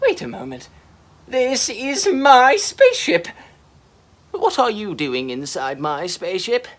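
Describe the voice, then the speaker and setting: A man voicing a silly, childlike, boisterous male sea monster from a children's storybook in a loud, exaggerated way. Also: very high-pitched, very animated, slow pace, pitched above the performer's own voice, heavily acted. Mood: indignant. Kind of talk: children's storybook character reading.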